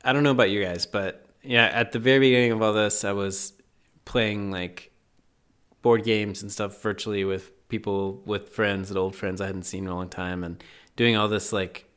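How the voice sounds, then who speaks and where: A male podcast host with a lowish voice, chatting in a relaxed way. He leans on fillers and laughs easily. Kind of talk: casual chat.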